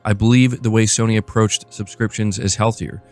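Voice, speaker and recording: A man's voice: lowish voice, little pitch movement, moderate pace, a little mellow, faint music behind. A man hosting a gaming news show, reading reports aloud and adding casual commentary.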